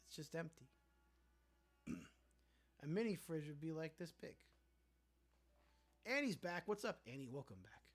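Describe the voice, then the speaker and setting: A young man runs a relaxed livestream, reading out viewer messages and riffing on them with playful asides. His voice sits a little on the high side for a man. The talk is loose and conversational. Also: a little slow, long pauses. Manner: chatty, reads viewer comments aloud and riffs on them playfully. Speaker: livestream host reading chat. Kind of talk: casual livestream chat.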